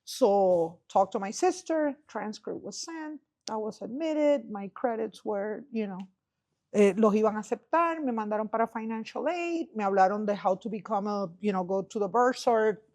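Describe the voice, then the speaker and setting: A woman in her fifties tells her own story in a Puerto Rican accent, at a medium pitch. She slips easily between English and Spanish, as a native Spanish speaker does, and voices each side of remembered exchanges. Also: expressive, normal speed, darkish tone. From Puerto Rico. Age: 50s. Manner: switches freely between English and Spanish, acts out quoted dialogue. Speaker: podcast guest, film festival director. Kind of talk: conversational personal storytelling.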